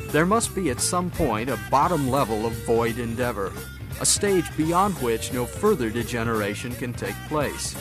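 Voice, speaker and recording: A man reading scripted mock-scholarly radio commentary in a formal, pompous manner. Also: medium pitch. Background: guitar music.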